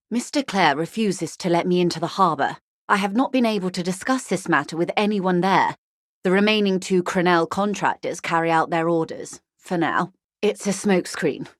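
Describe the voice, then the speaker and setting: A woman acting as a shrewd corporate negotiator in a video game, with a lowish female voice recorded close and dry. She is composed and articulate, choosing formal words with grave, frank urgency. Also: dry recording, close to an everyday voice. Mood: grave, matter-of-fact. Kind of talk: scripted video game character dialogue.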